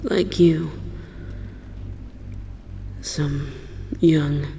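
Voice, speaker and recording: A woman voicing an aloof, wounded, world-weary dragon in a measured, solemn, formal roleplay monologue. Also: low-pitched, steady tone, gravelly, mellow, a little hiss or hum, full character voice. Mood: wary, sombre. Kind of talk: slow dramatic roleplay audio monologue.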